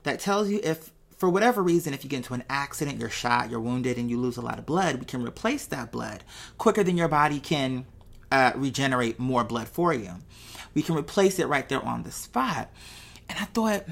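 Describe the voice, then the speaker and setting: A woman's voice: very deep voice, gravelly. A woman talking casually off the cuff to viewers, rambling conversationally and checking in with rhetorical questions. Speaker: vlogger. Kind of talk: casual off-the-cuff monologue, thinking aloud to viewers.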